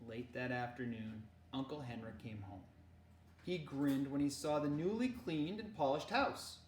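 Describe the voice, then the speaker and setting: An animated woman storyteller reads a children's novel aloud. Her pitch moves around freely with the action, and the room adds a clear echo to her voice. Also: unhurried, a little hiss or hum. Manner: animated, dramatizes dialogue. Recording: roomy echo.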